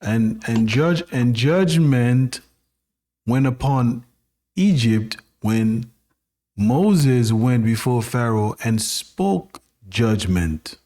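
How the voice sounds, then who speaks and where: A man hosting a spiritual podcast speaks slowly, stumbling through restarts before building to sermon-like points. His pitch sits in a middle range. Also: mellow. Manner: slow, halting, many restarts, sermon-like. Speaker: podcast host.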